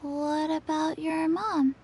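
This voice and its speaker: A woman voicing a small, blank, earnest undead girl in a video game, childlike and halting. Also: high voice, a little hiss or hum. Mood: flat, earnest. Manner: deadpan, halting.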